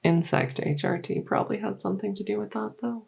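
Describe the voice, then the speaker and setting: A woman playing a small, encouraging insect-folk clinician in an ASMR medical roleplay, soft-spoken, hesitant and matter-of-fact. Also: mid-range voice, a little fast, vocal fry, slightly rough. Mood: reassuring, explanatory.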